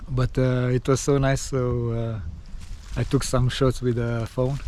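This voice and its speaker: Mellow-voiced man vlogging outdoors. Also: medium pitch, flat delivery, a little slow, slight background noise. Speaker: travel vlogger. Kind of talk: casual outdoor vlog talk to camera. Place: outdoors by a forest.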